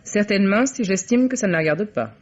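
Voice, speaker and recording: Poised female private secretary, played by an actress: crisp, guarded, formal, old radio drama on muffled tape. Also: mid-range voice, expressive, fast talker, lightly acted. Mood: cool, guarded. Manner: crisp, curt, formal replies. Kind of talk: vintage French radio mystery acting. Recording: dull, vintage radio recording.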